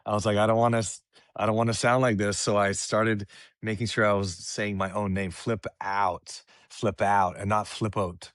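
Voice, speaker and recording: A man's voice: fairly low pitch, flat delivery, normal speed, fairly bright tone, no room sound, clean studio sound. A Canadian man from Vancouver, a guest DJ talking in a laid-back way with frequent laughs and fillers. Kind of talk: casual interview chat.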